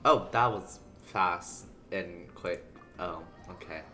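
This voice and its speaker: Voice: deep voice, slow, bright, forceful tone, soft background music. A game streamer chatting casually and ramblingly to viewers while playing. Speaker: livestreamer. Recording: background noise under the voice. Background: video game sounds.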